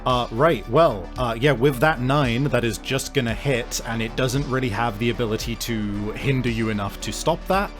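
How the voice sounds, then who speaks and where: A man with a medium-pitched voice talks as a podcast host and game master. His delivery is casual, unscripted and slightly hesitant. Music plays behind him. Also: moderate pace, few pauses. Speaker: game master and show creator. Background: background music.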